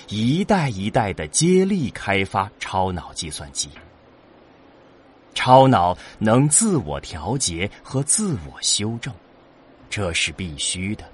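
A man narrating a science-fiction audio drama in a measured, scripted storytelling delivery. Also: on the low side, slight background noise. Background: music.

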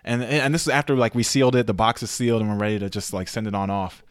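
A man's voice: fairly low pitch, fairly even intonation, very fast, no room sound, studio quality. A man co-hosting a podcast in casual chat, rambling and profane, full of fillers. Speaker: podcast co-host.

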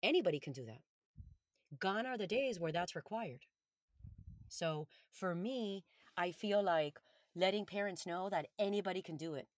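Podcast guest: woman from Colombia, Spanish first language, lowish voice, dry close sound, earnest and emphatic. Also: lively intonation, normal speed, pauses often, no echo. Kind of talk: podcast interview.

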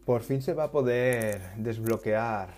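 A man chatting casually about tech news, halting often and correcting himself as he goes. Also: mid-range voice, a little mellow. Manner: halting, restarts and self-corrections, musing. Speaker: tech vlogger.

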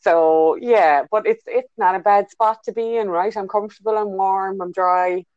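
A woman's voice: medium pitch, steady tone, moderate pace, darkish tone, clean tone, dry recording, lo-fi sound. An Irish woman in her thirties, a consultant being interviewed from her bedroom over a call, thoughtful and full of fillers and restarts. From Ireland. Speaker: guest, business consultant. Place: bedroom at home.

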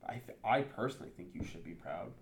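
A man's voice: deep voice, lively intonation, fast talker, slight background noise. A native English-speaking man talking candidly and thinking aloud in a casual interview. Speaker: interview guest. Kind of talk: casual conversation.

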